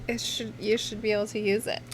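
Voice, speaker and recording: A woman's voice: mid-range voice, flat delivery, fast. A woman co-hosting a casual live chat, speaking in a playful, bantering way. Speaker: co-host reading viewer questions. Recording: noisy live stream recording.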